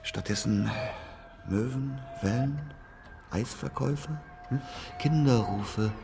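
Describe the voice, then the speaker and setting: An actor in a German radio drama playing a medium who receives signals, speaking in halting, murmured fragments. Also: male voice, medium pitch, creaky, noisy background. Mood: detached. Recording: music behind the voice.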